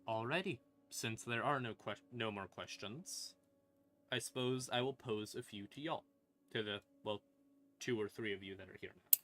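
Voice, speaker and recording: A man's voice: lowish voice, normal speed, fairly bright tone. A man hosting a casual livestream, talking informally in a rambling way with hesitant, trailing asides. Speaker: streamer hosting a lore question-and-answer stream. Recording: ambient horror music playing behind. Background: creepy ambient backing music.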